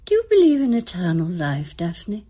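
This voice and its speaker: An actress playing a frail, kindly old woman in a radio drama, husky, genteel and wistful. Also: on the low side, wildly swooping pitch, moderate pace, warm tone, exaggerated voice. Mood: wistful, grave.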